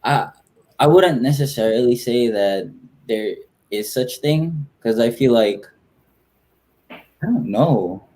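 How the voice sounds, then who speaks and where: A man's voice: mid-range voice, animated, slow pace, pauses often, dark tone, low-quality recording. A man from the Philippines answering informally as a guest in a casual interview, feeling his way through his phrasing.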